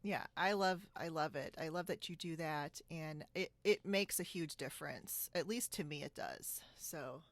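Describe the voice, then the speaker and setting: A woman's voice: sits low, normal speed, hardly any pauses, no echo. A woman hosting a casual podcast interview from a room at home, chatty and giggly, on a video call. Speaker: podcast host.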